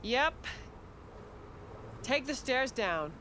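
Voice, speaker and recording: Game bit part: friendly, flirty club greeter, high register, slow delivery. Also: female voice, big pitch swings, very noisy, heavily acted. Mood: welcoming. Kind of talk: video game drama acting.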